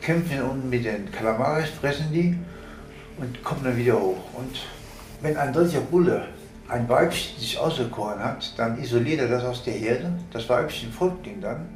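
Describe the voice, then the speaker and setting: A man's voice: medium pitch, lively intonation, moderate pace, rough recording. Older guy who used to be a sailor telling sea stories, kind of in awe, rambles a bit, music playing behind. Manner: discursive, marvelling, vividly descriptive. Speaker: former seaman recalling his voyages. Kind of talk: spontaneous spoken reminiscence in a radio feature.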